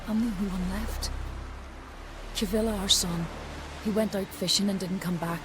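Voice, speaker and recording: An actress playing a grieving rustic widow in a fantasy video game, plain-spoken and somber. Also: female voice, medium pitch, steady tone, normal speed, background noise, natural voice. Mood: grieving, bitter. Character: grieving rustic village widow who lost husband and son.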